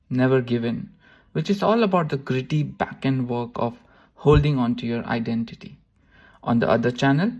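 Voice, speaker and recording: Gravelly male intro narrator. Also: medium pitch, dull audio. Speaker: show introducer.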